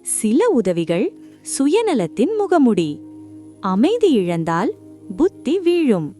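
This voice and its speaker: A woman narrating a scripted children's story in short, deliberate phrases. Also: on the high side, sing-song, dark tone, soft background music.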